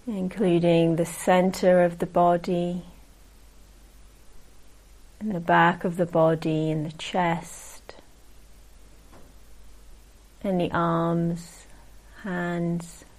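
A woman meditation teacher leading a guided meditation in a gentle, unhurried manner, leaving long pauses. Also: lowish voice, level tone, low-quality recording.

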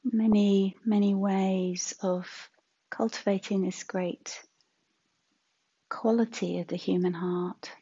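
A woman teaching a guided meditation in a soft, breathy, husky voice, gentle and unhurried with long pauses. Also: mid-range voice, steady tone, dull audio.

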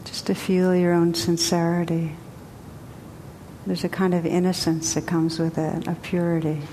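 A woman teacher guiding a group meditation in a gentle, unhurried way with long pauses. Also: little pitch movement. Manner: slow, gentle, spacious pauses. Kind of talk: guided meditation. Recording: long hall reverb, faint rumble.

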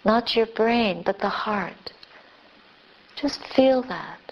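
A woman leading a guided meditation as a teacher, speaking gently and unhurriedly in short phrases with long pauses. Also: on the high side, muffled recording, a little hiss or hum. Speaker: Buddhist nun, meditation teacher.

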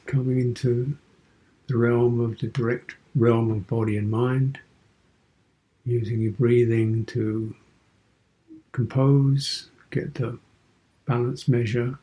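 A man guiding a meditation as a teacher, speaking contemplatively in short, sparse phrases with pauses and gentle questions. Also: medium pitch, flat delivery, poor audio. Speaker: meditation teacher, Buddhist monk. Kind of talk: guided meditation.

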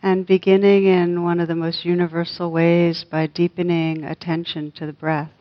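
A woman leading a guided meditation as a teacher, gentle and unhurried, with long pauses between soft phrases. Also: fairly low pitch, level tone, lo-fi sound.

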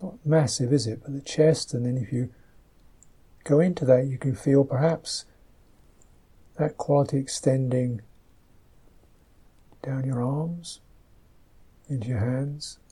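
A man teaching a guided meditation, speaking softly and gently in unhurried, halting phrases, with a slightly husky voice. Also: medium pitch, fairly even intonation.

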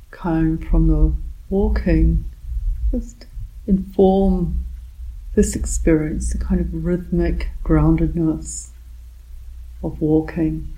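A woman leads a guided meditation in a low, soft-toned voice. She speaks very slowly and calmly, breaking her instructions into short phrases. Long pauses sit between them, and the tone stays mellow and gentle. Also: low-quality recording. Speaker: meditation teacher.

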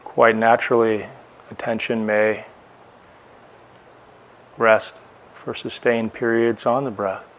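A man teaching a guided meditation, speaking in short, unhurried phrases with long pauses between them. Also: lowish voice, little pitch movement.

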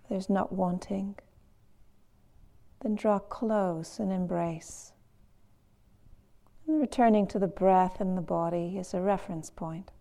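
A woman teaching a guided meditation in a measured, gentle manner with long pauses between phrases. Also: mid-range voice, fairly even intonation.

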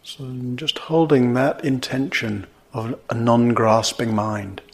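A man teaching meditation, guiding gently and in a measured way, with pauses between short phrases. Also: medium pitch, gravelly. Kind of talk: guided meditation.

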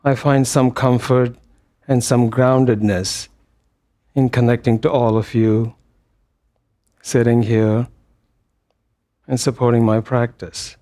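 A man leading a guided meditation as a teacher, speaking gently in short phrases with long pauses between them. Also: mid-range voice, flat delivery. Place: meditation hall.

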